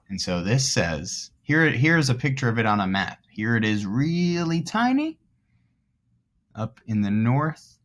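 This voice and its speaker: A man in his thirties reading aloud to young children as their teacher, explaining things in simple terms. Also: on the low side. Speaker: elementary school teacher.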